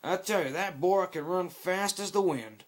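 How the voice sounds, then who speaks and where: A man's voice: sits high, moderate pace, pitched above the performer's own voice, close to an everyday voice. A man voicing a friendly engineer with a put-on Texan drawl. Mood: reassuring. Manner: drawling, folksy.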